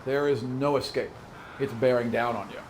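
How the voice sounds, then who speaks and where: A man's voice: mid-range voice, normal speed, crowd noise. A man narrating a tabletop role-playing game as game master, informal and conversational, swearing casually. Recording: noisy room recording.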